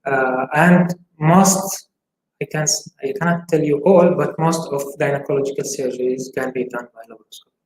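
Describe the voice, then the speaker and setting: A man from Syria in his forties, a gynecologist giving interview answers in a halting way with many filler pauses. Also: mid-range voice, steady tone. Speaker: gynecologist guest. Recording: echoey room, faint hiss.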